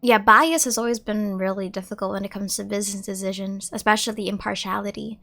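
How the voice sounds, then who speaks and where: A woman's voice: mid-range voice, fairly even intonation, fast talker, creaky, pushed and bright. A woman giving casual gameplay commentary as a streamer, chatty, reflective and jokey. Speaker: gaming streamer.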